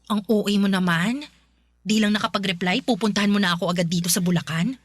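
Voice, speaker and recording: An actress playing a defiant, bitter pregnant student in a Filipino radio drama, sarcastic and blunt with a Filipino accent. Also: female voice, mid-range voice, steady tone, fast talker, no echo, clean studio sound, natural voice. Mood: annoyed, guarded. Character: defiant pregnant young student, bitter, sharp-tongued girlfriend.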